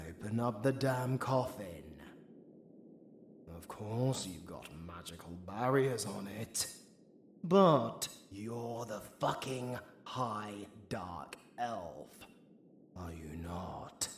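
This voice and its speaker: Casting: male actor as undead British necromancer villain for audio roleplay; sardonic, flirtatious, gravelly, with wildly swooping theatrical delivery over faint background noise. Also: low voice, fairly bright tone, roomy sound, heavily acted. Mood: exasperated. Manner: theatrical, sarcastic, swearing, drawn-out delivery. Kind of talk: intimate audio roleplay acting, addressed to the listener.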